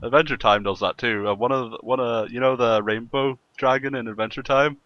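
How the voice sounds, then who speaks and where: A man hosting a casual gaming podcast chat in a jokey way, quick to laugh, on slightly lo-fi audio. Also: medium pitch, steady tone. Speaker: podcast host and streamer.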